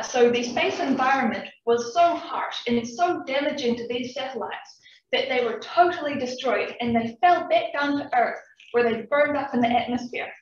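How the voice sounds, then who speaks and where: High-voiced New Zealand woman in echoey hall. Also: slight background noise. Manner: friendly, chatty, addresses audience directly. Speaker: PhD student giving a public science talk. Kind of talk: lively public science talk. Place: large echoey lecture room. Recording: echoey, distant, dull room recording.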